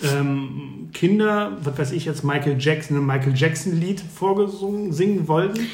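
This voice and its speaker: A man's voice: on the high side, moderate pace, a little mellow. Man chatting casually in an echoey room. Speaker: podcast co-host. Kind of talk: casual chat reminiscing about childhood TV. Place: indoor room at a community workshop. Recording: roomy, echoing sound.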